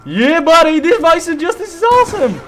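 Excitable young man gaming on a mic. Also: very high-pitched, brisk, a little hiss or hum. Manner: excitable, hypes gun, swears mildly. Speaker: gaming channel host. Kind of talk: casual co-op gameplay chat.

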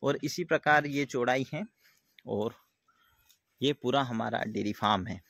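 A young man, a dairy farmer giving a casual, halting walkthrough and often repeating himself as he explains. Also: mid-range voice, creaky, a bit bright, no room sound. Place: outdoors in an open-sided farm shed. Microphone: phone at arm's length.

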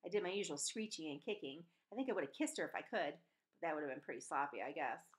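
A woman's voice: low voice, fast. A woman in her forties reading a novel aloud expressively, with the odd stumble, into a computer mic in a room at home. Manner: animated, with stumbles and self-corrections. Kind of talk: expressive storybook read-aloud. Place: small room at home, indoors. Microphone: computer webcam mic at desk distance.

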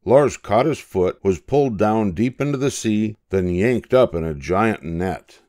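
An older man narrating a children's picture book in a measured, expressive storytelling manner. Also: fairly low pitch. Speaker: storybook reader.

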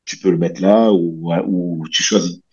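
A man in his thirties from French Guiana, chatting casually as an interview guest with a relaxed, rambling way of talking full of fillers and restarts. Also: low voice, moderate pace, husky. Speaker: guest, bodybuilder and coach. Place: small room at home, indoors. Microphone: headset on a video call. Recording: slightly dull video call audio.

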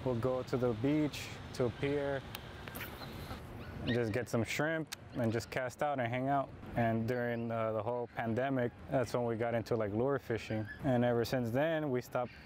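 A man's voice: medium pitch, steady tone, moderate pace, background noise. A man in his thirties talking casually while fishing at an outdoor park pond, explaining his technique as he goes, picked up by a camera mic at some distance. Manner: laid-back, explains as he fishes. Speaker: fishing vlogger.

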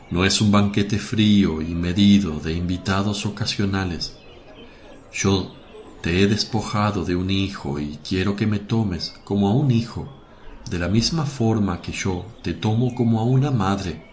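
Guy doing a kind solemn biblical teacher for radio drama, really low voice, unhurried. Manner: solemn, affectionate, measured. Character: Jesus, gentle, welcoming adult male teacher. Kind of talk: religious radio drama acting.

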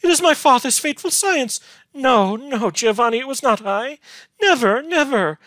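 A man voicing a gentle, lonely, devoted young woman in a gothic storybook, with archaic, plaintive, imploring speech. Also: mid-range voice, very animated, normal speed, no room sound, pitched above the performer's own voice. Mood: desperate anguish. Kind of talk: dramatic gothic storybook reading.

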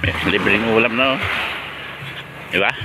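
A man's voice: on the low side, normal speed, loud music behind the voice. A man casually narrating a vlog outdoors on a beach, speaking politely to his viewers while filming fishermen with a phone camera. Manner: easygoing, chatty, addresses viewers politely. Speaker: vlogger filming local fishermen.

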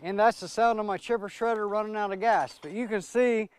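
A middle-aged man hosting a homestead channel, casually explaining in a relaxed, plainspoken way how he splits boards and runs a chipper. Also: high voice, a little fast, slight background noise. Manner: relaxed, plainspoken, loose with fillers. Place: outdoors in a wooded yard beside a wood chipper.

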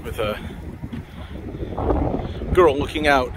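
A man strolls through town and narrates for the camera in a relaxed, musing voice, pointing things out as he wanders. The voice sits at an ordinary middle pitch. Cars and street sounds come through clearly behind him. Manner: relaxed, musing, slow and meandering. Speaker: walking tour vlogger. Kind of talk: casual walking-tour narration. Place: outdoors on city streets. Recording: outdoor street noise behind. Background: passing cars and street ambience.

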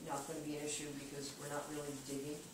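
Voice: medium pitch, monotone, very fast. A water department official giving a casual, plain-spoken report at a board meeting, thinking aloud with frequent restarts. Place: meeting room around a conference table. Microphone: far camera. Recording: echoey room, distant camera, background noise.

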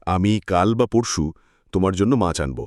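Man as expressive kind-hearted village husband. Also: deep voice, normal speed, no echo, clean studio sound. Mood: earnest. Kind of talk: cartoon voice acting.

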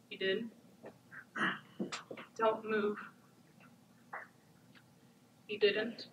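A teenage female student playing a haunted, stoic abused wife, speaking in stark, deliberate phrases in a stage monologue. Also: fairly high pitch, a bit bright, dull audio. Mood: cold resolve. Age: teens. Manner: deliberate, incantatory repetition with short stark phrases. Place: theatre stage before an audience. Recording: echoey theatre, distant camera, background noise.

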